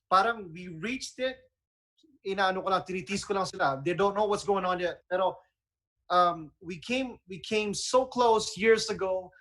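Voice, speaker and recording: Male radio host, Filipino accent, high register, enthusiastic and chatty, casual talk-show delivery. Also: a bit bright. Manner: enthusiastic, conversational.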